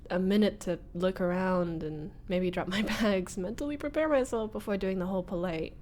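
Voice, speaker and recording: A woman's voice: mid-range voice, normal speed, darkish tone, a little hiss or hum, close to an everyday voice. A woman voicing a flustered young former bully in a scripted roleplay audio, hesitant and wry. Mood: surprised, awkward. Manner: hesitant, sighing, nervous laughs, wry muttering.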